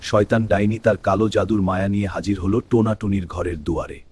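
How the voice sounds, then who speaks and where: Low-voiced storytelling man. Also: steady tone, fast, darkish tone, breathy edge. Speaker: narrator. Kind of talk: scripted fairy-tale narration. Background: faint music.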